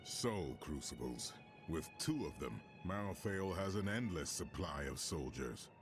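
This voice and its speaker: A wise and solemn mentor from a fantasy game. His delivery is grave and unhurried, in a deep voice that rises and falls expressively. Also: very animated, loud music behind the voice, heavily acted. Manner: grave, measured. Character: solemn, wise male mentor studying an enemy's plans. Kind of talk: video game dramatic acting.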